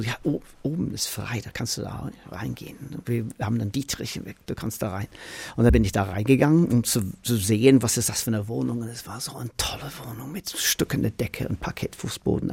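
A man's voice: medium pitch, vocal fry, dark tone, slightly rough, no room sound. An older man from Manchester, a native English speaker with an English accent, tells casual anecdotes as an interview guest in a rambling way, repeating words. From Manchester, England.

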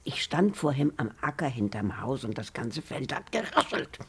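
An actress playing a wistful, dreamy village woman in a radio play, speaking in a halting, dramatic way. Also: a bass voice, sing-song, breathy edge, full character voice. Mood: haunted. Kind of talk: naturalistic radio play dialogue.

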